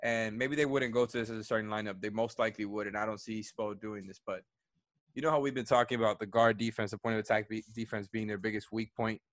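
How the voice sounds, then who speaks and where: Guy talking hoops real fast, dry close mic, keeps restarting his sentences. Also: on the low side, steady tone, fairly bright tone, no room sound. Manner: fast, thinking aloud, many restarts. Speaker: podcast co-host. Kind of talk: casual sports chat.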